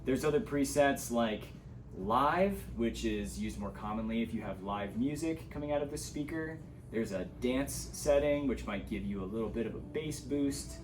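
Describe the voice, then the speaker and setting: A man's voice: mid-range voice, expressive, normal speed, background noise. A man giving a casual, hands-on gear tutorial, explaining things step by step as an instructor.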